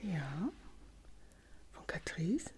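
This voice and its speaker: A middle-aged woman in a gentle, unhurried whispered ASMR roleplay, speaking softly and breathily close to the microphone. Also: low voice, very animated, slight background noise. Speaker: ASMR creator playing a customer in a drugstore roleplay.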